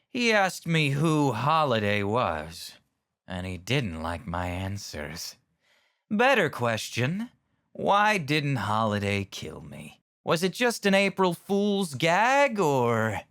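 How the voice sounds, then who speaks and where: A man's voice: mid-range voice, huge pitch swings, slow, a bit bright, heavily acted. A man voicing a smug villain who loves riddles and jokes. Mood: wry.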